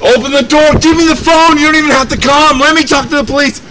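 A man in a panicked, frightened state, shouting and pleading repetitively for help with profanity. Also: very high voice, fairly even intonation, quick pace, background noise.

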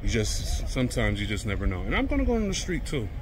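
A man's voice: fairly low pitch, huge pitch swings, fast talker, noisy background. An American man talking casually in a chatty, colloquial way as he films a walking travel vlog outdoors. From United States. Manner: laid-back, colloquial, chatty. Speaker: travel vlogger. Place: outdoors on town streets.

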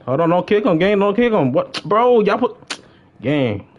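A young adult man chatting casually as he streams a game, slangy and excitable, often repeating his phrases. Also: sits high, a little slow, dull audio. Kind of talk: casual livestream gaming chat.

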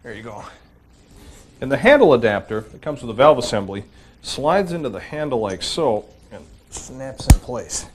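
A man hosting a home improvement show, giving plain, steady step-by-step instructions as he demonstrates the work. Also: medium pitch, moderate pace, a little hiss or hum.

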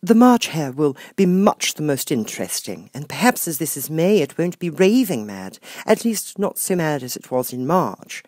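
A man's voice: a little high, very animated, normal speed, hardly any pauses, darkish tone, dry recording, clean studio sound, pitched above the performer's own voice. A man voicing a polite, timid, curious young girl in a storybook reading, speaking carefully and earnestly.

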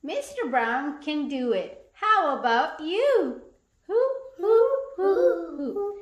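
A woman speaks to young children in a high, very lively voice with a sing-song, expressive read-aloud delivery. She takes her time over the words. The room around her is echoey. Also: slow. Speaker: parent or teacher reading aloud and asking questions. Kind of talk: animated children's picture-book read-aloud.

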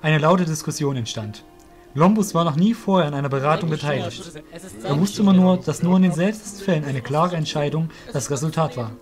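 A man's voice: a little high, expressive, fairly quick, faint music behind. A man narrating a fantasy audio drama as a scripted storyteller.

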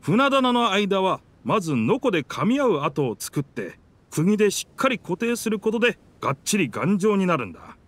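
A man voicing a proud, boastful talking pirate ship in a Japanese game dub, with theatrical, rough masculine speech. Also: sits high, big pitch swings, normal speed, a bit bright, heavily acted. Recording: music under the dialogue.

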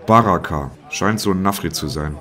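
A man's voice: sits low, normal speed, a bit bright. A man playing a grumpy, sarcastic little police inspector with a bossy manner in a parody detective audio play.

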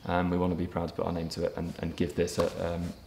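A man in his thirties, a property developer giving a documentary interview, speaking in a measured way with frequent ums and restarts. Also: deep voice, completely flat delivery, creaky, darkish tone, slight background noise. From England. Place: empty brick factory room, indoors, large. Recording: echoey empty room, faint ambience.

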